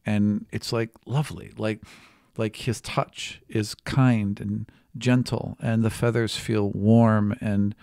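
A man's voice: on the low side, flat delivery, slow, gravelly, few pauses, a little mellow, dry recording. A man in his thirties, a game master improvising narration for a tabletop game close on a studio mic. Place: small indoor podcast studio. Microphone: close stand microphone.

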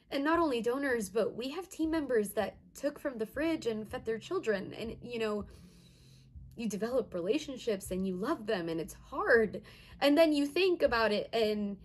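A woman acts as an earnest community organizer in her twenties, telling her story in a dramatized monologue. The voice is on the high side, and the delivery is conversational, like a personal account. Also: a little mellow. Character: earnest young female community fridge organizer. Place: indoors, plain room.

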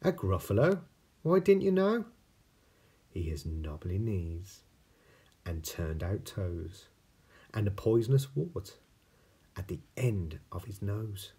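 Man voicing a clever little storybook mouse, husky. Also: on the low side, slow, pauses often, exaggerated voice. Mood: excited. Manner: polite, animated. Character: small clever brown mouse, polite, bold storybook trickster.

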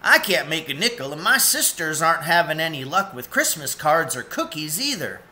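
A man's voice: a little high, very animated, moderate pace, bright, forceful tone, voice pushed up, heavily acted. A man voicing a downbeat young beaver out of work in a storybook, resigned and plain-spoken.

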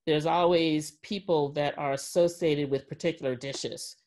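A woman's voice: sits low, fairly even intonation, normal speed, a little mellow. Woman in her forties, panel moderator on a video call from home, chatty. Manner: chatty, many fillers, laughs. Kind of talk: casual panel discussion. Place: home room, indoors. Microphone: video call webcam.

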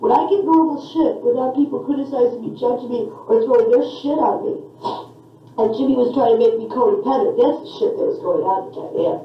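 A woman's voice: a little high, fairly quick. A woman venting angrily and defiantly in a therapy session, with plenty of profanity. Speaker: therapy patient on a session tape. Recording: echoey, distant, muffled session tape.

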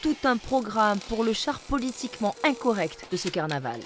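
A woman reporter giving a scripted news voice-over with lively, punchy phrasing. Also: a little high, normal speed, background noise.

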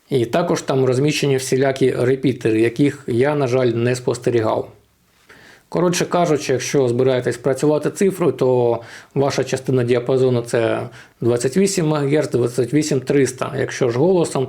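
A man with a gravelly, creaky edge to an average-pitched voice. He explains things informally and step by step, like a hobbyist vlogger walking through a tutorial. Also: dark tone. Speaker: amateur radio vlogger.

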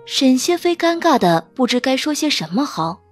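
A woman's voice: high voice, very animated, normal speed, soft background music. A woman reading a romance novel as a dramatic audiobook narrator.

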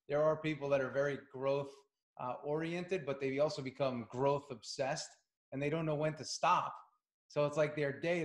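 A man in his forties, an easygoing host, chats over a video call and offers short, affirming replies to his guest. His voice sits at a middle pitch, and the room around him sounds noticeably echoey. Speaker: podcast host, coach. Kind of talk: conversational interview. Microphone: video call webcam.

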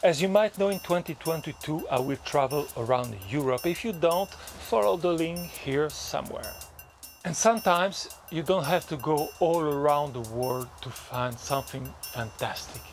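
A man's voice: a little high, moderate pace, soft background music. A Belgian man in his fifties vlogging outdoors in a forest, telling a story in a playful, mock-dramatic way to a handheld camera. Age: 50s. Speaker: travel vlogger. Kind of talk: casual vlog to camera.

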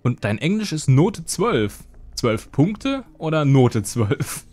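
A man's voice: a little high, sing-song, takes it slowly, darkish tone. A young man streaming a game from his home setup through a headset mic, chatting loosely with his viewers and answering their messages. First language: German. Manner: chatty, rambling, reads and answers chat. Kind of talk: casual live-stream chat while gaming. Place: home gaming room. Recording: faint game music underneath.

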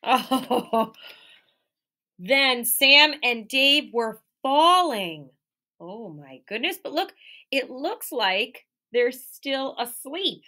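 A woman in her thirties, a teacher reading a picture book aloud to children, animated, with gasps and questions to her young listeners, recorded on a close webcam in a classroom. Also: high-pitched, very slow. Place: classroom or office with window and colorful wall hanging. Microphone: webcam at close range.

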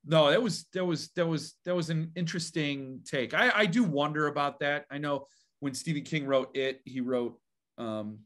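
A man hosting a book channel interview, enthusiastic and halting, full of fillers and restarts. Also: on the high side, a bit bright. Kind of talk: casual interview conversation.

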